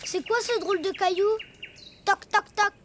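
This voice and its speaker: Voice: extremely high pitch, normal speed, fairly bright tone, a little hiss or hum. An actor voicing a cheerful, imaginative little boy donkey in a preschool cartoon, childlike and full of wondering exclamations. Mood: curious, excited. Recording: music behind the voice.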